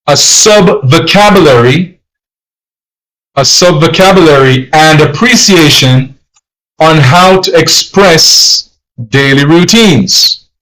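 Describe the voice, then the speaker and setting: A man's voice: a little high, fairly bright tone, poor audio. Jamaican man teaching on a livestream. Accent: put-on non-Jamaican accent, not his natural one. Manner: rambling, repeats himself, frequent fillers. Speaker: language teacher, livestream host. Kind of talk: casual livestream talk.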